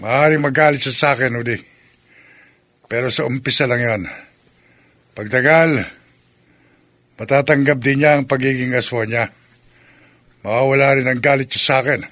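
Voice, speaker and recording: An actor playing an obsessive, scheming male aswang in love, gravelly and melodramatic, in a horror radio drama. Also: medium pitch, steady tone, moderate pace, pauses often, bright, forceful tone, natural voice. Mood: smug, possessive. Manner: confident, menacing, melodramatic.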